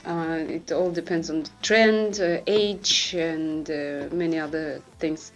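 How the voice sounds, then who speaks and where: A woman's voice: sits low, steady tone, slow pace, faint music behind, low-quality recording. A young woman, a master's student, reflecting hesitantly on her background. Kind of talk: interview.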